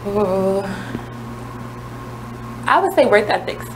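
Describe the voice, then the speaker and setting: A woman's voice: mid-range voice, lively intonation, slow pace. Woman, twenties; earnest, confident guest; noisy radio recording. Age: 20s. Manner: earnest, self-assured, many "um"s. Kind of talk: casual radio talk.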